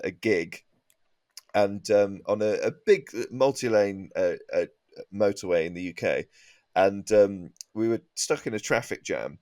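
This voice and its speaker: A man's voice: lowish voice, a little mellow, dry recording. A man, a comedian guesting on a panel, telling comic anecdotes in a dry, understated, hesitant way.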